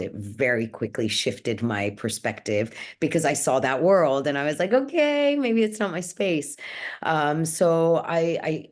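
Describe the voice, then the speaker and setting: A woman's voice: a bass voice, darkish tone. A woman from Egypt, a yoga teacher, speaking earnestly as an interview guest, often restarting her sentences. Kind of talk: reflective interview talk.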